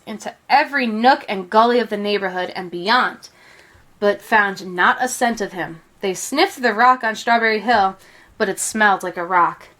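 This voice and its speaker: A woman reading a children's picture book aloud, with expressive, deliberate storytelling phrasing. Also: fairly high pitch. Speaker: storybook reader.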